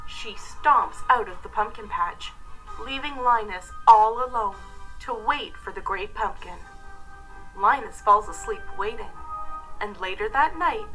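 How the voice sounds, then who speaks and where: Bright-voiced woman reading a storybook. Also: medium pitch, rough recording. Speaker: storybook narrator. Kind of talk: expressive scripted children's read-aloud narration.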